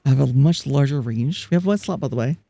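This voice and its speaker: A chatty streamer talking casually through a live game, often breaking into laughter. The voice is gravelly and mellow, and it is caught dry and close with no room sound. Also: mid-range voice, animated, quick pace, no room sound. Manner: chatty, laughs often, reads chat aloud; frequent restarts and fillers. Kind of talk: casual live-stream chat while gaming.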